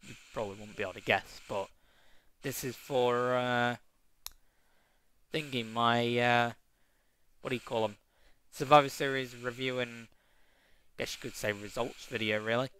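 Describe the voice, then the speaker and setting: A man's voice: medium pitch, fairly bright tone, a little hiss or hum, low-quality recording. A young man casually reviewing a wrestling show, rambling with lots of fillers and restarts, with a tickly cough.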